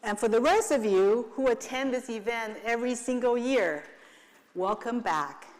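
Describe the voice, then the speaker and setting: A woman's voice: fairly high pitch, animated, normal speed, darkish tone, a little hiss or hum. A woman from Taiwan, an attorney hosting her firm's client event, giving a playful stage speech and getting the audience to repeat phrases after her. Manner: playful, leads the audience in call and response. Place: large event hall. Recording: long reverb of a large hall.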